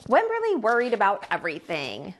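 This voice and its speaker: A woman reading a children's story aloud as a storytime host and teacher, with expressive, deliberate delivery. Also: mid-range voice, a little hiss or hum.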